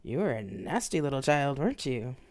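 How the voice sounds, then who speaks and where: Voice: mid-range voice, very animated, fairly quick, darkish tone. Someone doing a taunting fire spirit. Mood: mocking. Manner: taunting, drawling. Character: mocking, imperious fire-wielding desert spirit, female.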